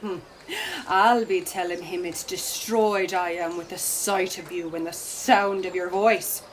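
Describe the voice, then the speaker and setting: A woman's voice: fairly low pitch, steady tone, a little slow, slight background noise, close to an everyday voice. An actress playing a proud, scornful young Irish village woman in a radio play, haughty and taunting. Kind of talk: theatrical radio play acting.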